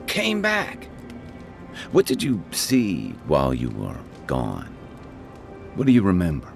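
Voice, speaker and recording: A man playing a gruff, wry and loyal travelling companion in a fantasy video game, talking in a conversational, dryly humorous way. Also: on the low side, full character voice.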